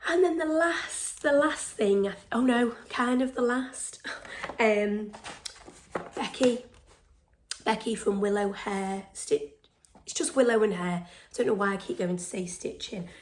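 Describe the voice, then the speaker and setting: A woman with a hoarse, failing voice hosts a casual show-and-tell vlog, chatting excitedly with lots of ums and phrases that drop into a breathy whisper. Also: medium pitch, a little slow. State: hoarse, losing her voice. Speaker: stitching vlog host.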